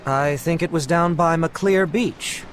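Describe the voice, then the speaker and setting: Low-voiced, folksy shopkeeper. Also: female voice, unhurried, a little hiss or hum. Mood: casual. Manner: casual, folksy. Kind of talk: video game cutscene voice acting.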